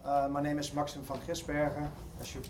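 A man's voice: fairly high pitch, completely flat delivery, fairly quick, noisy background. Dutch-accented man giving a talk. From Netherlands. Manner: hesitant, many ums and restarts. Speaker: conference speaker. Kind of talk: conference presentation. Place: conference hall.

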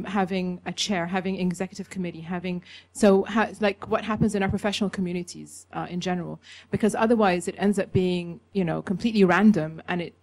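A woman's voice: on the low side, a little mellow. A woman making a proposal in a group discussion, polite and persuasive, with some stammering. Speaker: meeting participant.

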